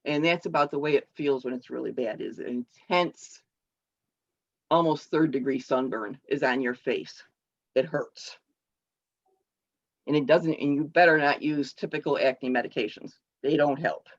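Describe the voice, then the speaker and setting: A woman giving a personal speech to her club over a video call, halting and explanatory with frequent restarts. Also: low-pitched. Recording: dull lo-fi call audio.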